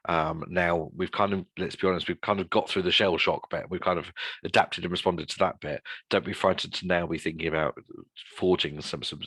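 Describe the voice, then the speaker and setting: Male webinar guest, low creaky husky voice, halting unscripted delivery, close dry sound. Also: a bit bright, no echo. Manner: halting, frequently rephrasing mid-sentence. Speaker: guest speaker presenting a survey report.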